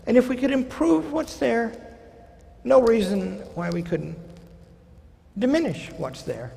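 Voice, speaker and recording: A man in his sixties, a college president, gives a seminar lecture from a podium, conversational with wry asides and slowing for quotations. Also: very high voice, lively intonation, creaky, warm tone. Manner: conversational, wry asides, slows down for quoted passages. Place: large lecture hall stage at a podium. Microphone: podium microphone. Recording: hall reverb.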